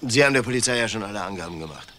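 Crime drama widower, middle-aged, low register, controlled and evasive, halting delivery. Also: male voice, animated, a bit bright, slight background noise. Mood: composed, businesslike. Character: composed, evasive widowed husband with a mistress. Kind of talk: German television crime drama acting.

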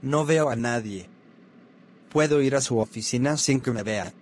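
A man voicing a bratty bald cartoon boy who mocks grown-ups, with a flat, sneering delivery over music. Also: mid-range voice, moderate pace, slight background noise, close to an everyday voice. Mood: smug. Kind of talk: fan-made cartoon dub.

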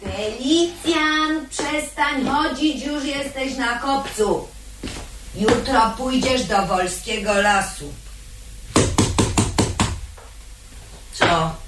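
An actress playing a domineering, stingy middle-aged matron who scolds and bosses in a theatrical radio play. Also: female voice, mid-range voice, extremely slow. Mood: scolding. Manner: scolding, bossy, exclamatory. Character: domineering, penny-pinching middle-aged matron nagging her husband. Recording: echoey, noisy old radio recording, distant mic.

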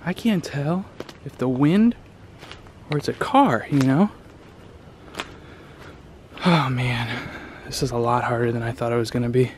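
Jittery man whispering in a dark barn. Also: mid-range voice, unhurried, pauses often, slight background noise. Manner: hushed, jittery, often dropping to a whisper. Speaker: urban explorer vlogger. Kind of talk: nervous night exploration vlog. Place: abandoned barn and farmyard at night, outdoors and indoors. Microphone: handheld camera.

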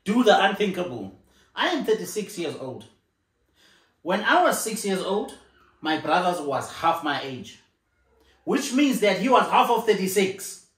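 A man in his thirties digs in on his argument, slow and deliberate, his pitch swinging widely as he repeats himself. He sits on a living-room sofa, and the camera mic picks up an echoey room. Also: sing-song, fairly bright tone. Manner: insistent, repeats himself, laughs a lot. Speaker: co-host of a couple's channel. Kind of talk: casual argument on a couch. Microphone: far camera.

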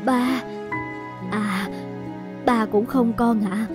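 A woman playing a gentle, anxious, devoted middle-aged mother in an animated fairy tale, with a coaxing, worried manner. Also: fairly high pitch, drawn-out words, loud music behind the voice.

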